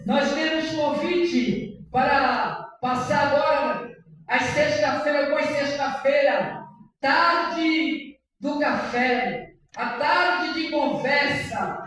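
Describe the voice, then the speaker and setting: A high-voiced woman with a Bahian accent preaches with fervour, letting phrases rise into song and cry out. Her delivery is slow and unhurried. She is heard from a distance, in a church hall that rings with long reverb. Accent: Bahian Brazilian Portuguese. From Salvador, Bahia, Brazil. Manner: fervent preaching, sliding into sung phrases and shouts. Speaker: guest preacher. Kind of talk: sermon. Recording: echoey church hall, voice from far off.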